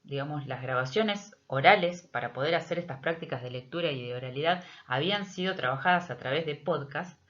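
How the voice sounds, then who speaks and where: A middle-aged Argentine woman, a teacher, explaining a project in a prepared but hesitant, measured presentation with frequent pauses. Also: low-pitched, fairly bright tone. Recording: dull, lo-fi audio.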